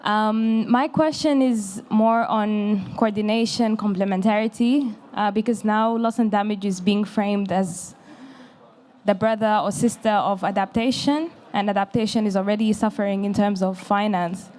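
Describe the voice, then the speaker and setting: A woman's voice: mid-range voice, steady tone, normal speed, darkish tone. A woman from an NGO asking a polite, articulate question at a conference session. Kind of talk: audience question. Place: conference pavilion.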